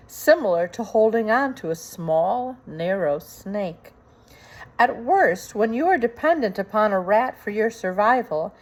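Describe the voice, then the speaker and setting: A woman reading a storybook aloud in a deliberate, suspenseful way, with emphatic dramatic phrasing. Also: mid-range voice. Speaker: storybook reader. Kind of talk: scripted dramatic storybook narration.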